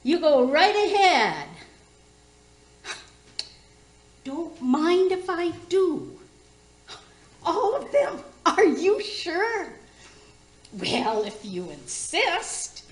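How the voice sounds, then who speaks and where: A chirpy, nosy older neighbour lady in a comic radio play. Her voice is high and swoops up and down in a folksy sing-song. She gushes slyly at a very slow pace, in a roomy, echoing space. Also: sing-song, heavily acted. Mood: sly, greedy.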